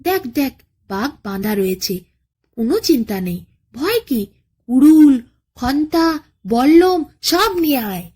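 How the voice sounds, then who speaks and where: Rowdy royal herdsmen from a bedtime folk tale, voiced by a woman storyteller. They sound bold and commanding, in a high, animated voice. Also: slow pace, long pauses, breathy edge, exaggerated voice. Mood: excited, bold.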